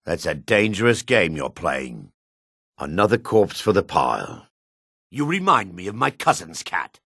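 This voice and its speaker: A gruff dark elf for a fantasy game, his voice raspy and forceful. He is suspicious and curt, with a sneering edge and pitch that swings widely from line to line. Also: huge pitch swings, unhurried, dry recording, studio quality, heavily acted. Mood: menacing, cold. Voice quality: gravelly, raspy. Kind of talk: video game character barks.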